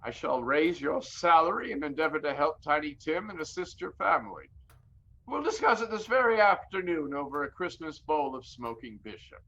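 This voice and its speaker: An actor playing an old miser boss in a theatrical audio drama, blustering and exclamatory, swinging between mock sternness and giddy joviality. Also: male voice, a little high, normal speed, lo-fi sound. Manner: blustering, exclamatory, repeats words excitedly.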